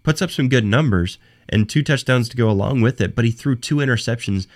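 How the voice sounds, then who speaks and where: A young man hosting a solo sports talk podcast, hoarse from a cold and losing his voice, talking in halting bursts with frequent restarts and repeated words. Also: medium pitch. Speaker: podcast host.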